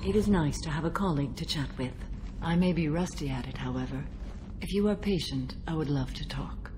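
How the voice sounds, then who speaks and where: An actress playing a stoic, centuries-old alien warrior woman bound by a code in a video game, speaking in a measured, formal, solemn manner. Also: deep voice, noisy background, natural voice. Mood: calm, reserved. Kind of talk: video game dialogue acting.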